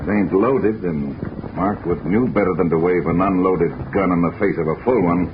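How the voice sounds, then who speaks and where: An actor playing a blunt, plain-spoken police sergeant in a 1950s radio drama. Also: male voice, sits low, normal speed, close to an everyday voice. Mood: gruff. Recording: dull noisy old radio recording.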